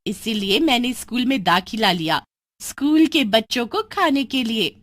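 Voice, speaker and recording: A woman voicing a young half-goat witch girl, an animated, eager daughter in a storybook cartoon. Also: fairly high pitch, moderate pace, no room sound. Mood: gloating, menacing. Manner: excitable, pleading, turning gleefully menacing.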